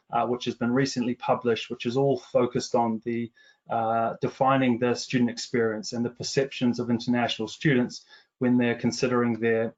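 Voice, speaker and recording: Man, New Zealand accent softened by years in England, mid-pitched, hesitant presenter, muffled online audio. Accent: New Zealand, partly anglicized after years in England. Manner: many fillers and restarts, measured business tone. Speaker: commercial director presenting research. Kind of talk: webinar presentation.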